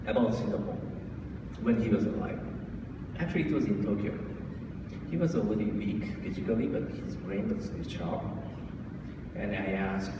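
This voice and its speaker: A lowish male voice with a Japanese accent speaks to a crowd in a haltingly informal way, restarting often and chuckling. He sounds far from the mic, with a long, echoey hall reverb and steady room noise behind him. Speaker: guest speaker at a book launch. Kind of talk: informal speech to an audience. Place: large indoor event hall.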